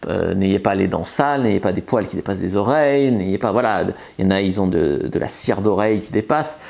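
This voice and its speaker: A man's voice: sits low, animated, fairly quick, vocal fry, darkish tone. Casual, chatty male seduction coach. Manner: loose and chatty, frequent filler words and restarts. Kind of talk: casual advice monologue to camera.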